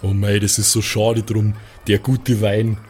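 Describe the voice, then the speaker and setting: A man's voice: fairly low pitch, level tone, measured pace, a little mellow. A man giving casual improvised comments as a player in a tabletop role-playing game.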